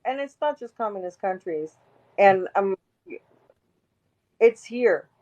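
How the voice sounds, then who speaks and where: Raspy woman on a muffled line. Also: medium pitch, expressive, slow pace, mellow. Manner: emphatic, rambling with restarts. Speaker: interview guest. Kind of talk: casual interview talk.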